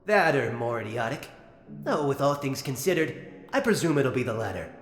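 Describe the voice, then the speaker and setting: A man's voice: medium pitch, big pitch swings, moderate pace, close to an everyday voice. Man voicing a sardonic mutant sea creature, echoing. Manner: theatrical, mocking, sarcastic drawl. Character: sardonic mutant male sea-creature prisoner, smug and bitter. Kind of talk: scripted comic dub voice acting. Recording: reverberant room sound, music underneath.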